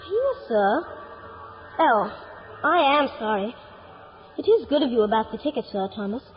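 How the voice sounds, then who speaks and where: Eager, high-voiced young boy. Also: sing-song, moderate pace, faint music behind. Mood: polite, curious. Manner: earnest, breathless, questioning. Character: eager, polite, wide-eyed young boy. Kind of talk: 1950s radio drama acting. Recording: muffled, noisy 1950s radio recording.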